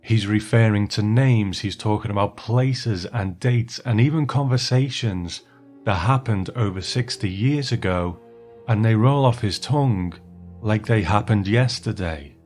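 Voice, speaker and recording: A man hosting a podcast with a scripted introduction, speaking in an earnest, measured way. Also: fairly low pitch, darkish tone, soft background music. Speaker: podcast host.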